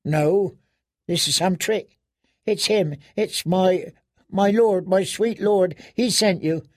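A terrified young captive girl, played by a male audiobook reader, pitched high and pleading. The delivery whimpers and stumbles, repeating words in a broken, halting way. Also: dry recording, clean studio sound. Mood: frightened pleading. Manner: whimpering, halting, stammering repetitions. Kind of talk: dramatic audiobook character reading.

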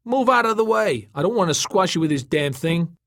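Guy doing a cheeky nerdy young dude, high voice, teasing his buddy, audiobook style. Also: slow, fairly bright tone, no room sound, studio quality, exaggerated voice. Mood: smug, careful. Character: cocky, nerdy young man, gleefully teasing his friend. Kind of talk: audiobook character voice.

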